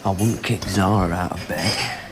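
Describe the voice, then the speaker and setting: An actor playing a laddish, deadpan man from a film crew in a naturalistic radio drama. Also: deep voice, a little slow, background noise. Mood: deadpan, joking.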